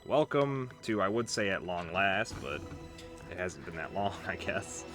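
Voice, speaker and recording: A man's voice: sits low, animated, unhurried. A man hosting a gaming video, giving casual, chatty let's play commentary with conversational asides. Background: game music.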